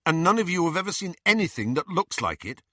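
A man voicing a determined teenage boy hero in an audiobook, earnest and urgent, often hushed. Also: on the high side, big pitch swings, fast, no room sound, studio quality, pitched above the performer's own voice. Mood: insistent. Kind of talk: audiobook character voice.

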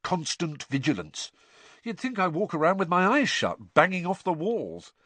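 A man's voice: high-pitched, big pitch swings, moderate pace, fairly bright tone, dry recording, pitched above the performer's own voice, exaggerated voice. Man doing a wary teenage schoolboy.

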